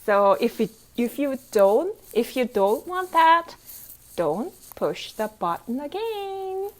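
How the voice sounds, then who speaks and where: Animated, high-pitched woman reading to children. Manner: animated, playful, with exclamations and sound effects; repeats phrases slowly for young learners. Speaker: English teacher reading a picture book aloud to children. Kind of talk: interactive children's read-aloud with questions.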